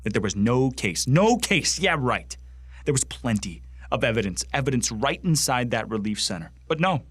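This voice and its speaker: An actor playing a bitter, bigoted young man who wants to join a space marine corps, with an intense, indignant delivery and heavy pauses in a radio drama monologue. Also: mid-range voice, expressive, slightly rough, no echo. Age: young adult.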